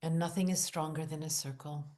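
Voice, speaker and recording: A woman leading a guided visualization, speaking in deliberate short phrases with long pauses, in a hushed, soothing, incantatory way. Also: sits low, fairly even intonation. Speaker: guided meditation leader. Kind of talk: guided visualization, meditative narration.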